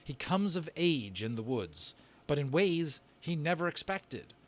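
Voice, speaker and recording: A man's voice: a little high, sing-song, measured pace. A man reading study questions aloud in an informal, conversational way, adding his own thoughts as he goes. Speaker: voice actor and book reader hosting a read-aloud. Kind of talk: reading discussion questions aloud with casual asides.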